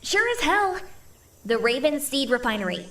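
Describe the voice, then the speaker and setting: An actress playing a brash, confident operative in a video game cutscene, with a sassy, assertive manner. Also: female voice, high voice, big pitch swings, moderate pace, sharp tone, heavily acted. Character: brash, confident female operative giving orders. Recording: music behind the voice.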